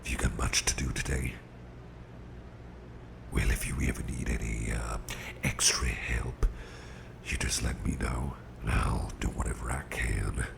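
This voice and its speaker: Man voicing a very deep, gravelly, whispering boss. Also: level tone, background noise, exaggerated voice. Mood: calm, reassuring. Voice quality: gravelly, breathy half-whisper. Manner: soothing, unhurried, folksy, murmured. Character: gruff but tender, protective businessman boss, adult man. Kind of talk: ASMR roleplay, intimate personal attention.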